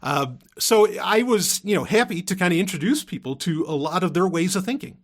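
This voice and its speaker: A man's voice: high voice, animated, brisk. A man hosting a podcast in a casual, unscripted monologue, rambling and emphatic, often repeating himself and swearing casually. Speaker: podcast host.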